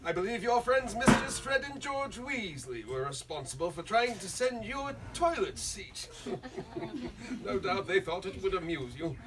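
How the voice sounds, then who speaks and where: Casting: twinkling old headmaster wizard male actor, high-pitched, very lively intonation, genteel, heard from a distant mic. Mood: amused. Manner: genteel, amused, deliberate. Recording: distant mic, faint room noise.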